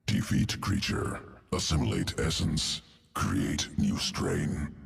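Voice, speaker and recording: An actor playing an inhuman insectoid evolution master in a video game, clinical and detached, speaking in clipped, guttural phrases. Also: male voice, very deep voice, big pitch swings, exaggerated voice. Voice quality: gravelly, guttural. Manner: clipped telegraphic phrases without articles, deliberate. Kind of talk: video game character dialogue.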